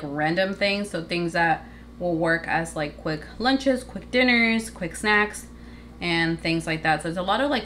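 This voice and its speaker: A young woman with a slightly low voice talks casually through her groceries, filling pauses with likes and ums. She is recorded on a camera in her home kitchen, with faint noise underneath. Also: a bit bright. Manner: chatty, many likes and ums, holds up items to camera. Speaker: vlogger. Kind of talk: casual grocery haul show-and-tell.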